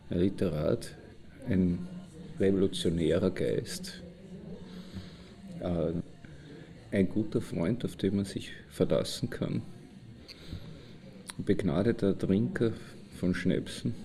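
An actor playing a blunt, wry colleague, speaking dryly in short laconic phrases. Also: male voice, low voice, creaky, dark tone, heavily acted. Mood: dryly fond. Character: blunt, wry colleague sizing up a stubborn friend. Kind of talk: anecdotal monologue in an audio drama.

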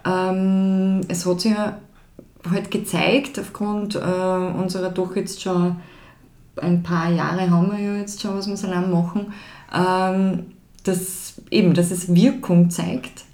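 A woman's voice: lowish voice, level tone, slow, dark tone. A woman, a project founder talking as a podcast guest, emphatic and full of hesitation fillers. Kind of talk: casual conversation. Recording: roomy echo.

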